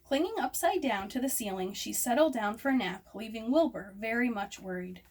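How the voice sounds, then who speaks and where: Higher-voiced woman reading aloud, distant and noisy. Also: animated, normal speed, darkish tone. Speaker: storyteller reading a children's book aloud. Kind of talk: expressive read-aloud narration. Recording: distant microphone, noisy room ambience.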